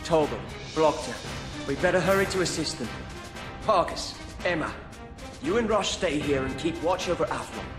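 An actor playing an earnest young noble lord and calm leader, formal and commanding in a video game drama. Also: male voice, fairly high pitch, normal speed, music in the background, close to an everyday voice.

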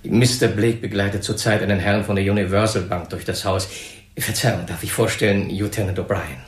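A man's voice: on the low side, fairly even intonation, a little fast, lightly acted. Man as formal manager in echoing room. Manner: formal, correct, officious. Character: officious, formal male department store personnel manager. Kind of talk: German crime radio drama acting. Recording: roomy, echoing interior sound.

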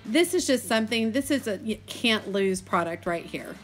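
A woman's voice: mid-range voice, lively intonation, normal speed. A woman in her thirties with a Texan accent, narrating a casual vlog in a chatty, enthusiastic, folksy way. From Texas, United States. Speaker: host of a gardening video channel.

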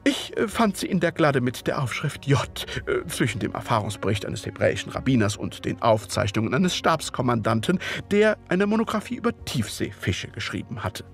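A man playing a wordy, genteel Victorian gentleman chronicler in an audio drama, formal and politely hesitant as he narrates. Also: medium pitch, sing-song, brisk, creaky, few pauses, soft background music. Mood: genteel, musing.

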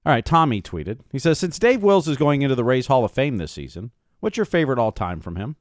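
A man's voice: mid-range voice, very animated, fast talker, no room sound, clean studio sound. A man co-hosting a sports podcast, casually chatting and handling listener questions.

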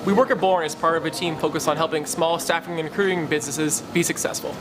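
A man presenting a promotional software demonstration. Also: fairly high pitch, steady tone, quick pace, background noise. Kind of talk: promotional software demo narration. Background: music.

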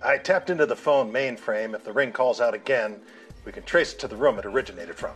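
A man's voice: mid-range voice, lively intonation, a little fast, fairly bright tone, faint music behind, lightly acted. A man acting a terse, no-nonsense spy in a businesslike way at a live script read.

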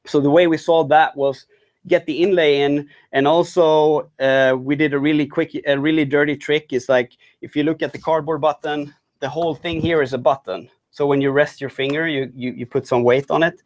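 Halting male tech talk presenter. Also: fairly high pitch, steady tone. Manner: halting, many restarts and fillers. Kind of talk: conference talk.

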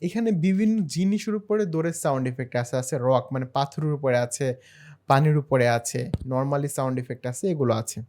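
A man narrating a screen-share software tutorial in a step-by-step, explanatory way. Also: mid-range voice, brisk, noisy background. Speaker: tutorial host.

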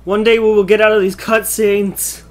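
A woman gamer gives casual let's play commentary and reads out on-screen dialogue. Her pitch is average, with faint game music behind her. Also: little pitch movement, moderate pace.

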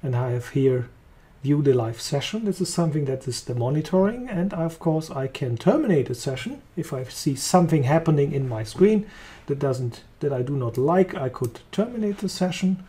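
A man with a German accent walking through a software demo, talking casually as he clicks along. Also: fairly high pitch, normal speed, few pauses. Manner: relaxed, thinking aloud while clicking. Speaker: software trainer. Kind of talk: screen-recorded software demo.

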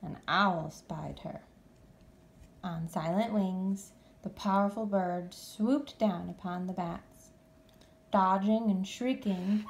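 A woman reading a children's story aloud expressively, with deliberate, dramatic phrasing. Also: mid-range voice, slight background noise. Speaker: storybook reader.

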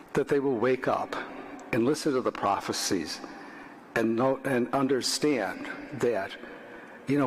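An older man preaching a homily in a relaxed, conversational way, often stopping to restart a thought. His medium-pitched voice carries a long church reverb from a lectern in the sanctuary. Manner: conversational, plain-spoken, with frequent restarts. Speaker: priest.

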